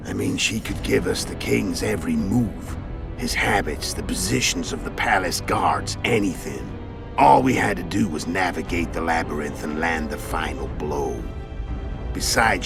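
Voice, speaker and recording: An actor playing a world-weary, cunning male assassin in a game cutscene, gravelly and measured, recounting things with dry irony. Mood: matter-of-fact, confiding. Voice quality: very deep, gravelly. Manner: measured, matter-of-fact storytelling with dry irony. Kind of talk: video game cutscene acting. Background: background music.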